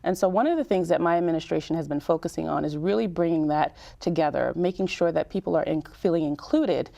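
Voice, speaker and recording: A woman in her thirties from Cameroon, speaking as a mayor in an interview in a measured, explanatory way with emphatic lists. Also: deep voice, gravelly, dark tone. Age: 30s. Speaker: town mayor, interview guest. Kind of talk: public-affairs interview.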